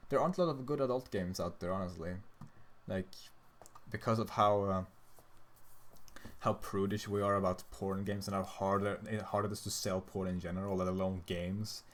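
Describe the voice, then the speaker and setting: A young man from Sweden, a native Swedish speaker, chatting casually on a livestream, blunt, profane and tired as he answers questions. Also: lowish voice. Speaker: streamer answering chat questions.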